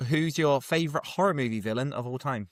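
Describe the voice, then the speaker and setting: A man's voice: mid-range voice, fast talker, no room sound, studio quality. A man hosting a podcast, asking questions in a casual, bantering interview style. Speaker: podcast host.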